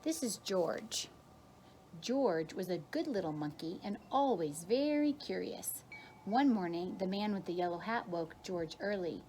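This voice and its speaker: Expressive woman reading a picture book. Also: fairly low pitch, a little slow, a little hiss or hum. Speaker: kindergarten teacher reading aloud. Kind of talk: expressive children's picture-book read-aloud.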